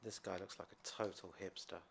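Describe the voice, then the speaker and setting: A man's voice: low-pitched, little pitch movement, brisk, creaky, background noise. A man casually narrating as he explores an abandoned hospital, chatty and curious, explaining what he finds. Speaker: urban explorer host.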